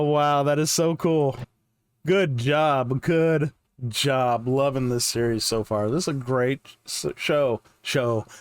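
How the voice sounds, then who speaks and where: A man's voice: mid-range voice, clear, resonant tone, dry recording, studio quality. A man hosting a reaction video, giving casual, enthusiastic commentary in short informal exclamations.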